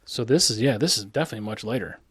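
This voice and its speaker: A man's voice: on the low side, expressive, racing, fairly bright tone, slightly rough, no room sound, clean studio sound. A man co-hosting a casual beer podcast, cracking crude jokes and talking loosely with lots of fillers. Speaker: podcast co-host. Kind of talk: casual chat over beers.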